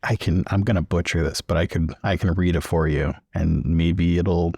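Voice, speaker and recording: A man improvising in character and narrating as a player in a tabletop game, measured and careful. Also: low-pitched, fairly even intonation, gravelly, warm tone, husky, no room sound, studio quality. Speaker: player in a tabletop role-playing podcast.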